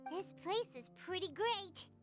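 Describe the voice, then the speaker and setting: A woman voicing a tiny, excitable, childlike floating companion in an anime video game, with a squeaky, exclamatory delivery. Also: measured pace, faint music behind, heavily acted. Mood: chatty. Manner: refers to herself in third person, exclamatory.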